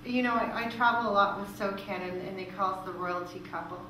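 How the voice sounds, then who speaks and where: A woman's voice: mid-range voice, quick pace, sharp tone, a little hiss or hum. Female presenter, explanatory and informal, recorded far from the mic in a very echoey room. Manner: conversational, explanatory. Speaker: presenter from a royalties organisation. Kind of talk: informal question-and-answer presentation. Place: echoey room at a live meeting.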